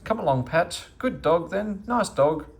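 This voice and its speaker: A man's voice: high-pitched, lively intonation, a little slow, a little hiss or hum, voice pushed up. A man voicing a well-meaning, bumbling and easily flustered fellow in a children's storybook. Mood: coaxing. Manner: fussy, eager to help. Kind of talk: children's storybook read-aloud.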